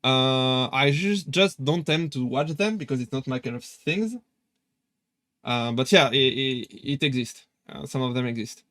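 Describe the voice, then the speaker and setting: A man's voice: medium pitch, a little slow, a bit bright, no echo. French-accented man, dry close sound. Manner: hesitant, many fillers and restarts. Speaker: VTuber streamer. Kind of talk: casual live-stream chat.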